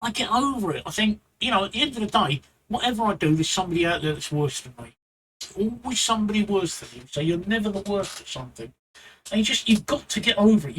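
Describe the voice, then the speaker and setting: A man's voice: sits high, a little hiss or hum, low-quality recording. A man with a London accent giving blunt streaming advice live, repeating himself and rambling into asides. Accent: London English. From England.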